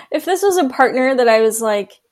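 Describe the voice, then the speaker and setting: A woman guest in a casual podcast chat, answering in a giggly, hesitant way. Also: high voice.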